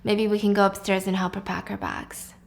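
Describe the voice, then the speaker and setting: A woman voicing a manipulative husband who talks softly, with weary, condescending patience. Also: medium pitch, little pitch movement, fairly quick, gravelly, voice pushed down, close to an everyday voice. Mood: patronising. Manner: soft, placating, sighing, condescending.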